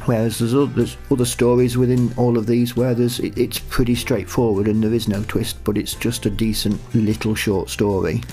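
A man's voice: medium pitch, little pitch movement, normal speed, hardly any pauses, dark tone, faint music behind. A man chatting casually in an interview as an audio drama writer, explaining things in a conversational way.

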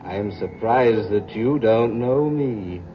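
An actor playing a vengeful dead man who taunts his rival in a deliberate manner, in 1940s radio style. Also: fairly low pitch. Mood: coolly taunting. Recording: muffled, hissy old radio recording.

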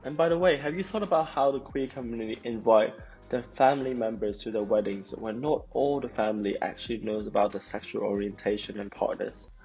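A man's voice: on the low side, brisk, a little mellow, slightly rough. A man hosting a podcast, reading an upbeat, promotional intro. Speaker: podcast host. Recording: muffled with faint music behind.

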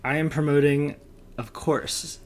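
A man's voice: fairly high pitch, fairly even intonation, measured pace. A man talking casually as co-host of a TV recap podcast. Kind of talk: casual chat.

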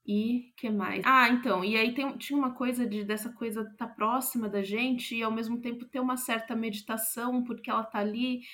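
A Brazilian woman speaking as an interviewed playwright, reflective and thoughtful, often searching for the right word. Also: medium pitch.

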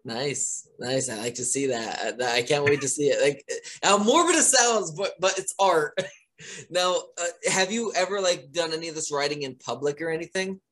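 A man interviewing a horror filmmaker in a casual, chatty way, with a loud voice, frequent laughs and many fillers. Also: a little high, moderate pace.

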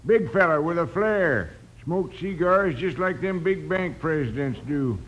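An actor playing a homespun, opinionated small-town frontier clerk with a rustic drawl in a radio western. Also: male voice, fairly high pitch, lively intonation, measured pace, fairly bright tone, highs cut off. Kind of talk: old-time radio western acting.

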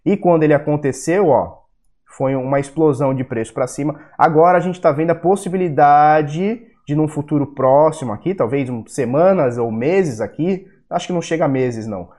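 A man's voice: a little high, normal speed, mellow. Blunt Brazilian man ranting about markets. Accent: Brazilian Portuguese. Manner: blunt and profane, with heated rants. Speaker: crypto video host. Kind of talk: casual market analysis talk.

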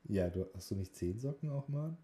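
A man's voice: lowish voice, lively intonation, mellow. A man chats as a podcast co-host. His delivery is casual and jokey, with teasing asides. He often halts and rephrases as he goes. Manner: teasing, jokey, halting with self-corrections. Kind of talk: casual banter and chat about voice training classes.

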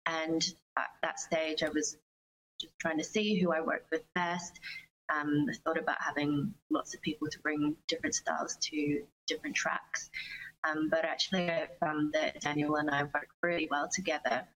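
A woman giving considered, measured answers in a conversational interview as a singer-songwriter guest. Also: low voice, level tone, fairly bright tone, slight background noise, poor audio. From Australia.

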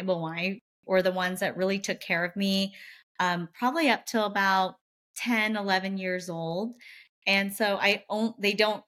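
A woman's voice: mid-range voice, pushed and bright. A woman from Vietnam, a guest in a casual interview, talking in a chatty way with many fillers and restarts.

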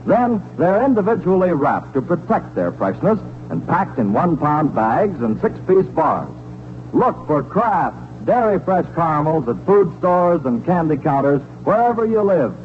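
A man's voice: fairly high pitch, big pitch swings, unhurried. A man with an American accent delivering a 1940s scripted radio commercial as an announcer, in cheerful, polished sales patter. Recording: muffled, noisy 1940s radio recording.

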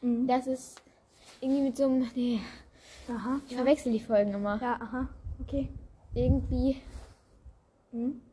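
A woman with a high voice chats informally as a podcast host, hesitating and restarting as she hunts for the right words. The amateur recording has faint noise behind her. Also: steady tone, normal speed, pauses often, mellow. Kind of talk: casual chat, guessing game. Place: outdoors. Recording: slightly noisy amateur recording.

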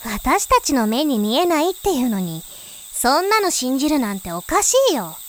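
A woman's voice: high voice, very animated, moderate pace, slight background noise. An actress voicing a skeptical young girl, the little sister, who doubts and questions, in a voice drama. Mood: dismissive. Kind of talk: voice drama acting. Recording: faint insect and cricket ambience.

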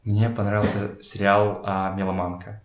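A man with a fairly low voice chats as a guest in an echoey room at someone's home. His delivery is conversational and slangy, with repeated words as he builds his point. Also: level tone, moderate pace, a little hiss or hum. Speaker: podcast guest. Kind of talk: casual conversational storytelling.

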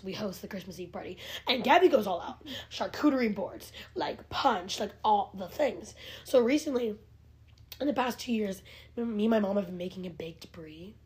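Young woman, 19, co-host; loose, chatty delivery heavy on fillers. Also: medium pitch. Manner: chatty, many fillers and restarts. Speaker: podcast co-host. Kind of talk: casual chat.

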